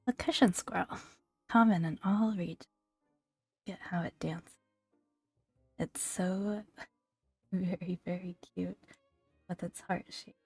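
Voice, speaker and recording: A woman speaks in a hushed, breathy ASMR voice, slightly low and unhurried. She is gentle and fond as she comments, often drifting toward a whisper. The sound is close and dry, with faint music underneath. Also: no room sound. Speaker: host of a gaming ASMR video. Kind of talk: soft-spoken ASMR, relaxed commentary and read-aloud entries.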